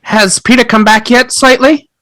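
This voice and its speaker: A woman's voice: a little high, fairly even intonation, moderate pace, fairly bright tone, natural voice. A woman playing an anxious, wistful young boy in an audio play. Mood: nervous.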